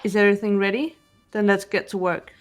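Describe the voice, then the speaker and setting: A woman playing a cool, analytical teenage girl detective in a game voice-over, speaking in a measured, serious way. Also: medium pitch, steady tone, close to an everyday voice. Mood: businesslike. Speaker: amateur voice actor. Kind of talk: fan voice-over of a visual novel game. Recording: game music under the voice.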